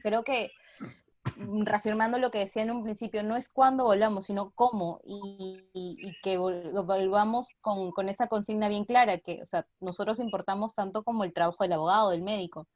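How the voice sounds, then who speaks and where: A woman's voice: medium pitch, fairly even intonation, brisk, darkish tone. A woman with a Peruvian accent talking casually as an interview guest, earnest and explanatory. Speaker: theater artist, interview guest. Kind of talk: casual video-call interview.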